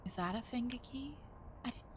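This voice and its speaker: A woman's voice: fairly high pitch, a little fast, background noise. A woman chatting casually as she streams a game, thinking aloud with wry, joking asides. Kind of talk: casual live gaming commentary.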